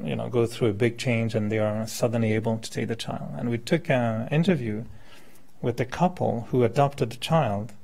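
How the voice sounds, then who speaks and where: Male speaker, fifties, mid-pitched with heavy vocal fry, informal spiritual lecture, conversational and questioning. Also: steady tone, moderate pace, darkish tone. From born in France, settled in Quebec. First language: French. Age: 50s. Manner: conversational, illustrates with hypothetical examples and questions. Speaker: meditation teacher, speaker. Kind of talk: informal spiritual talk to a live group.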